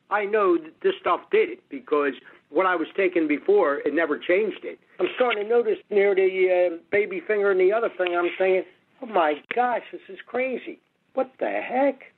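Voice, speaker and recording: A man's voice: fairly high pitch, husky. A man giving a chatty, folksy customer testimonial. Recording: tinny phone-line recording.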